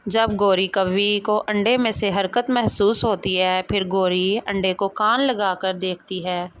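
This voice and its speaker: Female storyteller for a children's cartoon, medium pitch, scripted narration. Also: steady tone, measured pace, fairly bright tone, a little hiss or hum.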